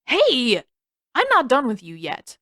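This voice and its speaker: A woman's voice: high voice, wildly swooping pitch, slow, pushed and bright, dry recording, studio quality, pitched above the performer's own voice, full character voice. A woman voicing an indignant, stubborn teenage boy in an audiobook story, accusing and exclamatory.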